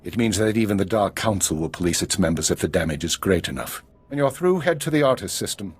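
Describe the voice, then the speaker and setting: An actor playing a guarded intelligence chief in a video game, measured, formal and authoritative. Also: male voice, deep voice, big pitch swings, slightly rough, heavily acted. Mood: grave. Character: grave, guarded male intelligence spymaster. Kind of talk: video game drama acting.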